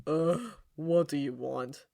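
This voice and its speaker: A woman's voice: sits low, animated, slow, gravelly, mellow, exaggerated voice. A woman voicing a sheepish, boastful devil king and father with flustered, defensive bravado. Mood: weary, embarrassed.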